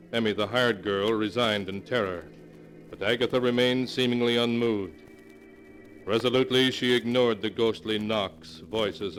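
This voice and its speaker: A man narrating a 1940s radio horror drama in a grave, deliberate, dramatic manner. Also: fairly low pitch, a bit bright, lightly acted.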